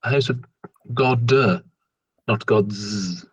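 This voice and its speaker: A man's voice: mid-range voice, slow, breathy edge, no room sound. A man improvising in-character banter as a player in a tabletop game, heard over a lo-fi internet call. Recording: dull, lo-fi internet call audio.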